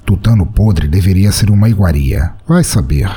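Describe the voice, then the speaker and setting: A man's voice: low-pitched, lively intonation, moderate pace, mellow, husky. A Brazilian man narrating fiction from a script in a dramatic, wry, ironic storytelling manner. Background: music.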